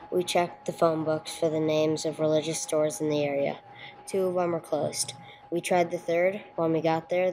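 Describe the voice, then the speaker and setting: A woman's voice: deep voice, a little mellow, close to an everyday voice, poor audio. A woman playing a no-nonsense police sergeant who narrates a case in a radio play, clipped and matter-of-fact. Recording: clear background noise, room ambience.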